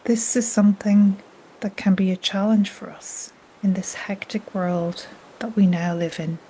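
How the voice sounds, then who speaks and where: A woman guides a meditation in a mellow, breathy, soothing voice that often drops toward a whisper. She takes her time, speaking very slowly at a middle pitch. Gentle ocean waves run softly behind her. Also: poor audio. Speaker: yoga nidra guide. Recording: steady soft noise like ocean waves.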